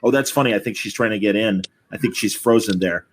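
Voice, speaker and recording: A man's voice: on the low side, fast talker. Effusive male host on a noisy call. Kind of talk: casual online group conversation. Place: remote video call. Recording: noisy video call audio with clicks.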